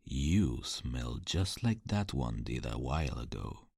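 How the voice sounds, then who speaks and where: A man playing a sadistic, taunting vampire in a dark ASMR roleplay, theatrical and mock-polite. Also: extremely low pitch, animated, takes it slowly, gravelly, breathy edge, dry recording, studio quality, exaggerated voice. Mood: menacing, predatory.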